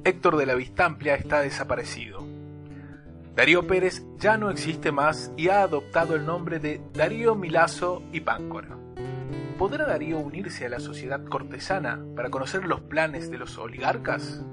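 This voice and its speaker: Male narrator, fairly high voice, dramatic serial delivery over clear music. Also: normal speed. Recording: music behind the voice.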